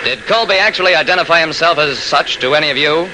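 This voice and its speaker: An actor playing a brisk, methodical railroad detective who narrates his case in an emphatic old-time radio mystery style. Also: a little high, pushed and bright. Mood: probing, brisk. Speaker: narrator and lead investigator. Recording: noisy old radio drama recording.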